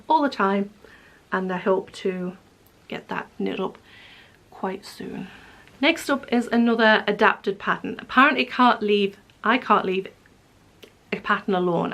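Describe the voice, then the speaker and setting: A woman, hoarse and croaky today, chatting on camera about her knitting plans and correcting herself as she goes. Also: medium pitch. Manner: chatty, rambling, self-correcting. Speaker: knitting vlogger. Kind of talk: casual chat showing yarns to camera.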